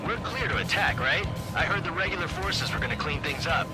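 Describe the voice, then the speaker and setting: A cheeky male fighter pilot in a game. His radio voice is on the high side, wry and needling. Loud music runs underneath. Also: brisk. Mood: wry, doubtful. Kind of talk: radio combat chatter.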